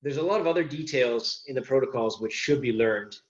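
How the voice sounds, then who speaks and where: A man gives an informal instructional presentation in a medium-pitched voice, explaining step by step in a plain, slightly halting way. The recording is dull and lo-fi. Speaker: presenter giving a safety lesson to boat reenactors.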